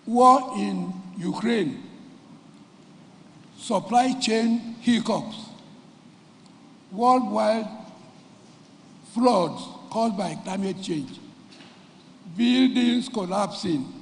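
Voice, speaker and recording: A Nigerian man giving a formal prepared speech at a symposium, measured and deliberate, losing his voice and clearing his throat. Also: high-pitched, darkish tone, a little hiss or hum. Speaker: symposium speaker. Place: event hall. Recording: reverberant hall, faint ambience.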